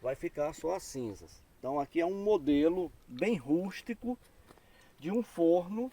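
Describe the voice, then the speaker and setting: A man's voice: fairly high pitch, lively intonation, measured pace, dark tone. A man with a northeastern Brazilian accent gives an unscripted, explanatory tour outdoors as a local guide, speaking didactically with frequent check-in tags. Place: outdoors in open rural land. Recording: clearly noisy outdoor field recording with clicks.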